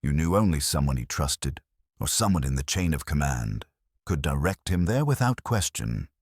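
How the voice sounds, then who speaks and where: A man's voice: a bass voice, very animated, moderate pace, darkish tone, slightly rough, dry recording, studio quality, full character voice. A man voicing a commanding, coldly logical detective in an audiobook, formal and incisive as he confronts suspects. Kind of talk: audiobook detective story dialogue.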